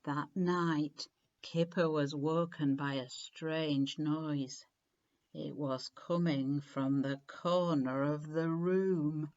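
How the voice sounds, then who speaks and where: A woman reading a children's storybook aloud in an expressive, deliberate way, with clear phrasing and dramatic emphasis. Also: sits low, dull audio. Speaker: story reader.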